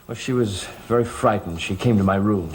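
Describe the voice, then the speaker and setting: An actor playing an earnest, protective, suspicious gentleman in a gothic television soap. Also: lowish voice, fairly quick, slight background noise, natural voice, rough recording. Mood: troubled.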